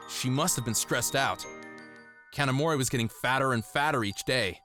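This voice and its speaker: A man's voice: medium pitch, normal speed, lightly acted. A man playing an ordinary young office worker and loyal boyfriend, narrating and acting in a manga dub over music, earnest and easily exasperated. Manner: earnest, exasperated when provoked. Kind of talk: dubbed manga narration and dialogue.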